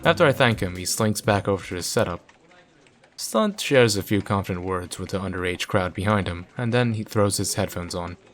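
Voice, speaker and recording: Low-voiced man reading a game story. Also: expressive, a little fast, a bit bright, soft background music. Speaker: video creator reading a visual novel aloud. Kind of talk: narrating a visual novel with casual asides.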